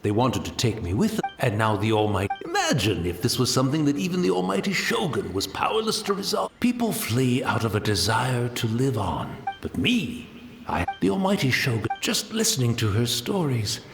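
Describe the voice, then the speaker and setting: A man's voice: medium pitch, very animated, moderate pace, gravelly. A humble blind old man. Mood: wistful. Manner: humble, polite, wistful. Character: blind elderly man, humble and gentle, resigned. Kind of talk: video game voice acting. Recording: echoey, with game music.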